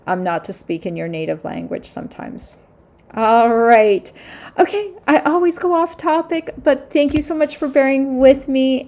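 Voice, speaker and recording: A middle-aged woman talks to her livestream viewers in a loose, rambling way, circling back with restarts and "um"s. Her voice sits at an ordinary pitch for a woman but carries a noticeable gravelly fry. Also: mellow. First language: German. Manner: rambling, chatty, many restarts and fillers. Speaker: crafting livestream host. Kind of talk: casual livestream chat with viewers.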